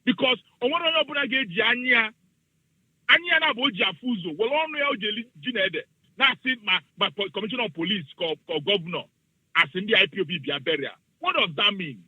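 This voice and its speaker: A man's voice: sits high, lively intonation, fast, husky, no room sound. A man with a Nigerian accent calling into a radio show, giving a fervent, preachy speech and slipping into Igbo phrases. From southeastern Nigeria. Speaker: radio call-in listener. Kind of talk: impassioned call-in speech. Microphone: phone. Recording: tinny phone line.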